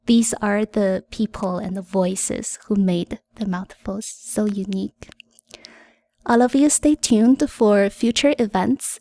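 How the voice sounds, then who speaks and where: A woman whose first language is Mandarin, hosting a live audio Q&A, with a soft, sweet voice and slow, evenly enunciated syllables. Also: medium pitch. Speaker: event host.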